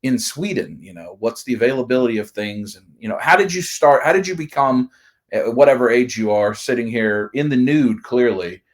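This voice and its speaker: A man's voice: mid-range voice, normal speed. A man in his forties hosting a live stream, asking rambling, enthusiastic questions in casual chat. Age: 40s.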